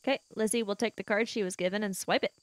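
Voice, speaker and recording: A woman's voice: mid-range voice, steady tone, heavy background noise. A woman chatting casually and playfully as she improvises as a player in a tabletop roleplaying game. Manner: playful, quick to joke.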